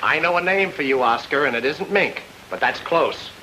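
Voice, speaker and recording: An actor playing a reluctant newlywed private detective in an old-time radio drama, with dry, sarcastic wisecracks. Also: male voice, a little high, animated, normal speed, sharp tone. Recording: noisy old radio recording.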